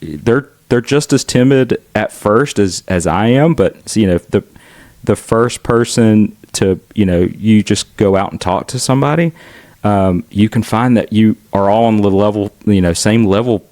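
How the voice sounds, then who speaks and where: Middle-aged man, Southern accent, lowish voice, dry close sound, outgoing and chatty. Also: measured pace, darkish tone, dry recording. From United States. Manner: outgoing, chatty, laughs while telling stories. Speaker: podcast guest. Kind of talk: casual conversational storytelling.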